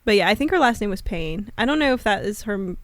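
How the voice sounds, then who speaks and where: A woman's voice: mid-range voice, lively intonation, quick pace, dry recording. Giggly young woman, dry close sound. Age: 20s. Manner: giggly, lots of fillers, laughs often. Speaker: podcast co-host. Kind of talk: casual chat. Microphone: studio mic with headphones.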